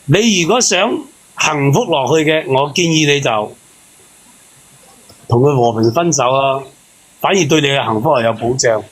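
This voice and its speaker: A man's voice: medium pitch, lively intonation, moderate pace, long pauses. A man with a Hong Kong Cantonese accent giving earnest, explanatory relationship advice in casual call-in talk. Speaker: panel member giving relationship advice to a caller.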